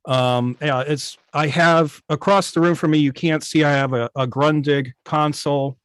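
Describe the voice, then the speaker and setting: Guy guest on a video chat, voice a bit high, keen hobbyist, no echo. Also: steady tone, normal speed, clear, resonant tone. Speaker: guest, old-time radio station operator. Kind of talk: casual video call chat. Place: indoors at home on a video call.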